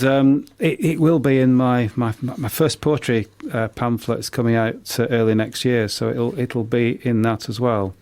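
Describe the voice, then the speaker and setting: Halting British man, older poet. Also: medium pitch, a little mellow. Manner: halting, stammered repeats. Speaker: poet, interview guest. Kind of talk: casual radio interview.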